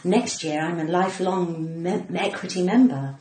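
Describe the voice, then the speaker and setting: A woman's voice: on the low side, normal speed, mellow. Woman, 60s, cut-glass English, playful and theatrical interviewee; roomy echo, some background noise. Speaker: podcast guest, comedian and actor. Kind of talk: casual interview chat.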